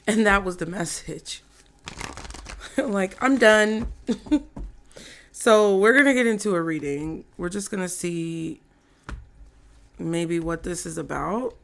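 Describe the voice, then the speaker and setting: A hoarse woman giving a casual, chatty tarot reading to her viewers. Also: on the low side, a little hiss or hum. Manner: slow, chatty, laughs often. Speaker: tarot reader.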